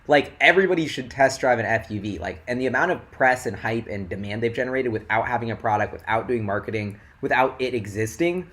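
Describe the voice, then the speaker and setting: A young man chats loosely on a livestream, tumbling from one excited thought to the next with filler words everywhere. His voice sits at an ordinary middle pitch and is wearing thin and hoarse. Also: brisk, few pauses. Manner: rambling and excitable, heavy "like" filler. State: voice going hoarse. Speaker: investing livestream host. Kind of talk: casual livestream chat.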